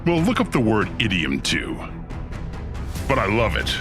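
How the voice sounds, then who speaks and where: A man's voice: fairly low pitch, wildly swooping pitch, measured pace, over music. An actor playing Satan, a smug, sardonic and theatrical ruler of Hell who toys with his listeners in an audio drama. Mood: gleeful. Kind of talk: scripted audio drama acting.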